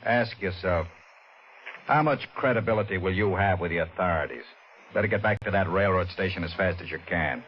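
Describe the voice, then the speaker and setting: Villain: silky low-voiced schemer, old noisy radio recording. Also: male voice, animated, brisk, lo-fi sound. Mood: menacing. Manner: silky, menacing, drops to a low murmur. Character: smooth sinister older male schemer. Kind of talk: 1940s radio acting.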